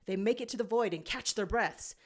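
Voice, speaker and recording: A woman's voice: medium pitch, a little fast. A woman reading scripted fiction as narrator, with dramatic storytelling delivery.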